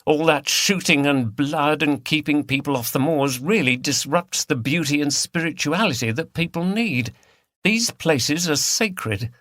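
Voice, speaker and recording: A man's voice: a little high, measured pace, a bit bright, no echo, studio quality, pitched above the performer's own voice. A man voicing an earnest, outspoken woman activist in an audiobook, with a frank manner. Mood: earnest, defiant. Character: earnest, feisty, outspoken female countryside activist. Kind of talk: audiobook character dialogue.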